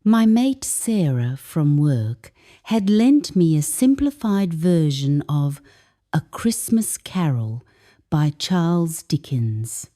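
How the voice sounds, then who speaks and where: Slow, mellow woman narrating. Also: low voice, very animated. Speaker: English teacher narrating a story. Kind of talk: scripted first-person story narration.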